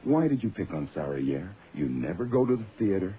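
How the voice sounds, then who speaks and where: A man's voice: sits low, big pitch swings, fairly quick. A man playing a brash, wisecracking detective's assistant in a radio drama, with a sardonic, slangy, streetwise manner. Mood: defiant. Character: brash, wisecracking male detective's legman and narrator. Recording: muffled, noisy phone-like old radio sound.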